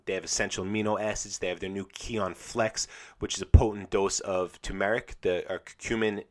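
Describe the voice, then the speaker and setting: Male podcast host, deep voice, congested and drowsy, enthusiastic ad read with lots of ums. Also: steady tone, a little fast. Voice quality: slightly nasal. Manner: rambling and enthusiastic, many fillers and restarts. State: congested from a cold, sleepy morning voice.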